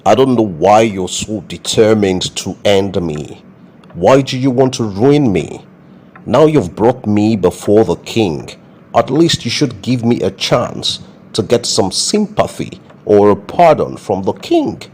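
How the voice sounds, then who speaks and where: A cornered gang boss acted by a man pleads his case in a put-on American accent. His voice is low and aggrieved, in comedy skit style, over faint noise. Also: lively intonation, measured pace, a little mellow. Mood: aggrieved pleading. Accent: affected American.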